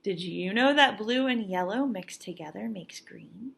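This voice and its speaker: A young adult woman, a teacher reading a picture book aloud to children with expressive, emphatic delivery and teaching asides. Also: mid-range voice, unhurried, creaky.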